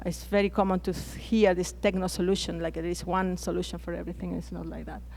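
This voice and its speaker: Woman with a Chilean Spanish accent, halting delivery, repeated words, conference panelist. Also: on the low side, level tone, gravelly, a little mellow, slight background noise. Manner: hesitant, frequent restarts and repeated words. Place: conference plenary hall.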